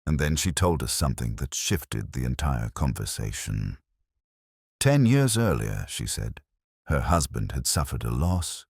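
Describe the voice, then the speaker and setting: A man reading a scripted first-person detective story in a measured, solemn, literary manner. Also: rumbling low, slightly rough, no room sound, clean studio sound. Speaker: narrator.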